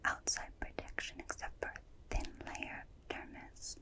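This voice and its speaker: A woman playing a gentle, curious alien in an ASMR roleplay, speaking in soft breathy whispers with soothing, clinical, repetitive phrasing close to the microphone. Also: natural voice. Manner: slow, soothing, repetitive, clipped detached phrasing. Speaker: ASMR performer. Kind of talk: ASMR roleplay, personal attention. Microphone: close binaural microphone.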